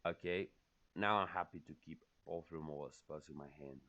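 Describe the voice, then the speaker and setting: A man from Spain speaking English with a Spanish accent as a second language, walking through his own game in careful, halting phrases. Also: very deep voice, sharp tone, no room sound, highs cut off. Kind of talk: recorded gameplay commentary.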